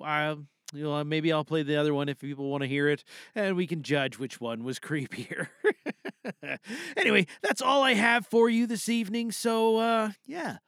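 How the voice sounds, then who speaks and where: A man's voice: a little high, creaky, a bit bright, no room sound, studio quality. A man hosting an audio theatre podcast and signing off in an informal, rambling way. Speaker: podcast host and voice performer. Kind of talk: casual podcast outro.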